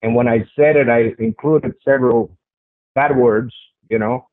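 A man's voice: mid-range voice, fairly even intonation, moderate pace, dry recording. A man with a strong voice giving a first-person account in an interview, speaking conversationally and repeating phrases for emphasis. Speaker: interview guest recounting a personal sighting. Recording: tinny phone-like radio line.

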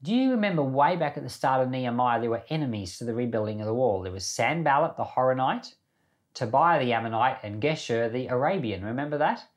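A man's voice: mid-range voice, animated, fast talker. A man teaching the Bible informally, speaking conversationally and putting questions to his listeners as he explains. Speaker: Bible teacher and podcast host.

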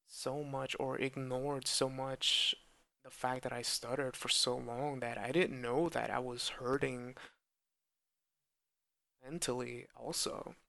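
A young man speaking slowly and earnestly in a personal monologue, with stuttered repeats and blocks. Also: medium pitch, vocal fry, bright, forceful tone, no room sound. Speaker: podcast host who stutters.

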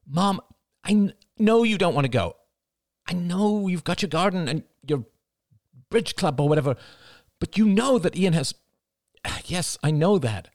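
A man putting on a stressed woman, raised pitch, strained, insistent. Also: animated, unhurried, fairly bright tone, dry recording, clean studio sound. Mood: exasperated. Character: tense young mother arguing.

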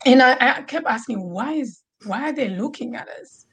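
Woman from tanzania on a panel, chatty, pauses and ums a lot. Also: fairly high pitch, animated, crowd noise. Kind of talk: panel discussion.

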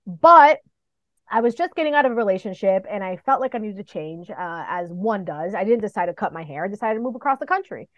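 Woman, podcast guest, casual animated storyteller, playful, close dry room, dull lo-fi audio. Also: medium pitch, fast, dry recording. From New York City and the Dominican Republic. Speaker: podcast guest, professional wrestler. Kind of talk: casual interview chat.

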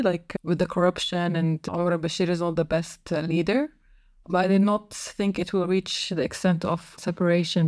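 A young woman from Sudan, a podcast guest explaining her moves abroad in a thoughtful, unhurried way. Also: on the low side, a little mellow, no room sound, clean studio sound. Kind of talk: casual interview chat.

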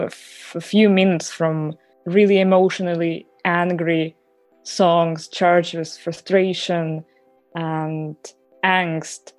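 Podcast co-host, woman, warm low tone, chatty and personal, dry studio sound. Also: no echo. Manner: chatty, personal, laughs easily. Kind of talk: casual chat about music.

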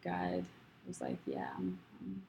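Young woman, low gravelly voice, unhurried, hesitant guest. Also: huge pitch swings, lo-fi sound, faint music behind. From Rwanda. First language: not English. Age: young adult. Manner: soft-spoken, hesitant, many fillers and restarts. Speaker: guest, college student. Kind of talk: casual conversation.

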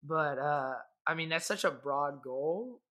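A man's voice: on the high side, slow, bright, forceful tone. Young man, twenties, from Kansas, podcast host, casual chat, easygoing. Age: 20s. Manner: loose and conversational, many fillers.

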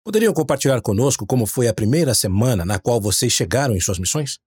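Lively husky male podcast host. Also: medium pitch, quick pace, dry recording, clean studio sound. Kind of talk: scripted podcast introduction.

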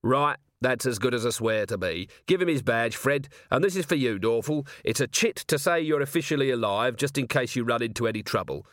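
A man voicing a brisk, gruffly authoritative police commander in an audiobook, commanding and dryly wry. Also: medium pitch, lively intonation, fairly bright tone, no room sound, studio quality, lightly acted. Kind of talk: audiobook character voice.

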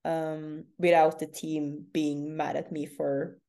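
A young woman from northern Norway talks in English with a Norwegian accent, a relaxed interview guest who laughs easily. Her voice sits low and sounds warm and mellow. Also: slow pace. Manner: candid, laughs often. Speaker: CrossFit athlete guest. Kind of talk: casual interview.

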